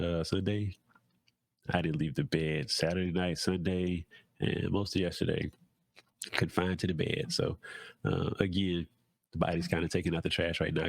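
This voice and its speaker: A man's voice: deep voice, creaky, no echo. A man talking casually as a podcast host, nasal and congested from a cold, rambling with fillers and restarts. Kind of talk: casual solo talk.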